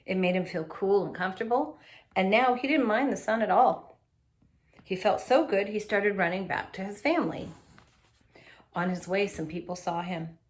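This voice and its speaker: A woman reading a children's picture book aloud in an animated, expressive way, adding little asides for young listeners. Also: mid-range voice, normal speed.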